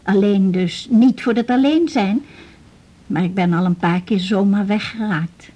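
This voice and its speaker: A woman's voice: mid-range voice, mellow, breathy edge. An actress playing a frail, talkative old Dutch widow, a patient clinging to her house, in a radio drama. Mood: wistful, anxious. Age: older. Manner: chatty, plaintive, with halting repetitions.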